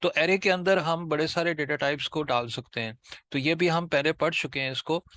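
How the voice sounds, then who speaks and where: A man with an Indian accent giving an informal step-by-step tutorial as a programming tutor, repeating himself haltingly and mixing in English technical terms. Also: fairly high pitch, bright, forceful tone.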